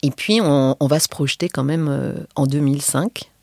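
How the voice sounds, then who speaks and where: A woman's voice: deep voice, normal speed, creaky, darkish tone, dry recording. A woman hosting a casual podcast interview, curious and teasing as she asks her guest about her love life. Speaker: podcast host.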